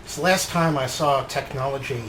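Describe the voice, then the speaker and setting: A man hosting a video game playthrough, speaking in a deliberate storytelling way as he role-plays and reads out his choices. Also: mid-range voice, noisy background. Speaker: game player and host. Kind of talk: slow role-play narration of a video game.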